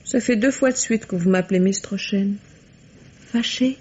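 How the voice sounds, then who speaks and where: A woman's voice: medium pitch, moderate pace, dark tone, slight background noise, close to an everyday voice. An actress playing an evasive, coquettish woman suspect in a 1950s-style French radio drama, speaking hesitantly and coyly. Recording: dull, lo-fi vintage radio recording.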